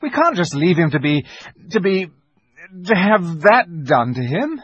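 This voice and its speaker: Earnest schoolboy for a storybook, acted by a man: frustrated, well-mannered, eager, high and slightly husky. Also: wildly swooping pitch, a bit bright, dull audio, exaggerated voice. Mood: indignant. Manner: urgent, halting, well-mannered. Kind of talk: dramatized storybook reading.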